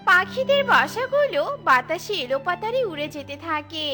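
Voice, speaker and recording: A woman's voice: very high voice, big pitch swings, normal speed. A woman storyteller reading scripted narration for a children's cartoon. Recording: music behind the voice.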